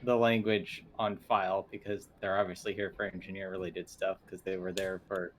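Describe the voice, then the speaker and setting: A man's voice: sits low, flat delivery, moderate pace, slight background noise. A man talking casually as a player in a tabletop role-playing game.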